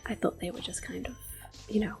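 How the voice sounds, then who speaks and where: A woman giving soft, breathy, mostly whispered ASMR commentary on a video game, gentle and close to the microphone. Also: medium pitch, fairly even intonation, a little fast. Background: soft game music.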